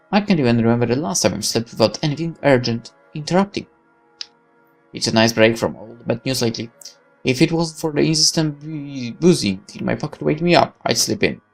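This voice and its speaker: A man reading a visual novel's narration aloud in a wry, measured storytelling way. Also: medium pitch. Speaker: gaming video narrator.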